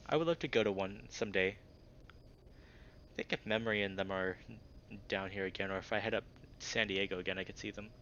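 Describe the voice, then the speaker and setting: A man's voice: lowish voice, fairly quick, long pauses, fairly bright tone, highs cut off, a little hiss or hum. A man chatting casually on a livestream while reading a visual novel aloud, in an informal way full of "like". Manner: informal, frequent filler "like". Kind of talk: casual livestream chat and read-aloud commentary.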